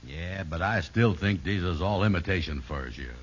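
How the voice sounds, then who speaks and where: An actor playing a simple, good-natured sidekick with dry, folksy, ungrammatical asides in a 1950s radio comedy. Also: male voice, low-pitched, expressive, moderate pace, breathy edge. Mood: skeptical. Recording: very noisy, muffled old radio broadcast.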